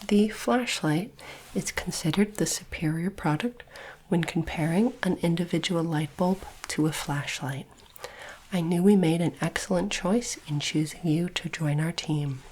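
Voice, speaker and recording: A woman voicing a polite, formal alien artifact appraiser in a soft breathy whisper, speaking slowly and precisely as an ASMR roleplay. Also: sits low, noisy background. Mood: calm, instructive. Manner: slow, measured, formal, precise diction.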